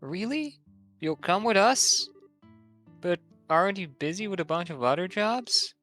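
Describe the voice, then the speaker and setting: A man's voice: sits high, expressive, unhurried, sharp tone, soft background music, pitched above the performer's own voice, heavily acted. A man voicing a cheerful, impulsive teenage girl adventurer in an amateur read-through of a video game, with an exclamatory, bubbly delivery. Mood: surprised, eager. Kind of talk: amateur voiced video game dialogue reading.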